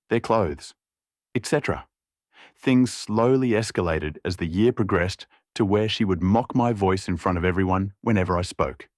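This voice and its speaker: A man narrating an online story in an even, scripted reading tone. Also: on the low side, no room sound, clean studio sound.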